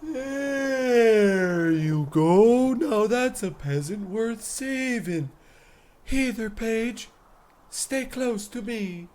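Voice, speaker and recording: Slow, pompous king played by a man. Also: very high-pitched, expressive, darkish tone, heavily acted. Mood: commanding. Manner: pompous, pondering. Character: pompous, dim-witted, self-important good king. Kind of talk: comedy radio play acting.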